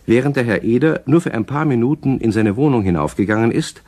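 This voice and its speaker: A man playing the narrator of a children's radio play, telling the story expressively to young listeners in a husky voice. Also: medium pitch, expressive, fast, a little mellow, natural voice. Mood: storytelling. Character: friendly male storyteller of a children's tale.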